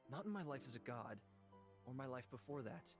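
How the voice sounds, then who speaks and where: An actor playing a gentle ancient god living in a human body, speaking in a measured, reflective way for a visual novel. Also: male voice, mid-range voice, animated, natural voice. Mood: tender, grateful. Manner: measured, solemn, reflective storytelling. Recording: music behind the voice. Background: instrumental music.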